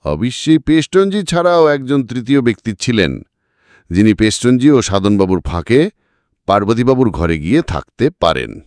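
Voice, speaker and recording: A confident male detective played by a man reading a story, methodical and pressing. The pitch swings widely as he drives each point home, and the recording is close and dry. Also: big pitch swings, moderate pace, darkish tone, no echo, close to an everyday voice. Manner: methodical, emphatic, accusing. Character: confident male private detective laying out a murder case. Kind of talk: dramatic audiobook character reading.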